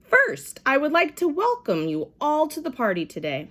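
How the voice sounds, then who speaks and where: A woman voicing a rich father making a slow, formal announcement. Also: high-pitched, wildly swooping pitch, fairly bright tone, voice pushed up, exaggerated voice.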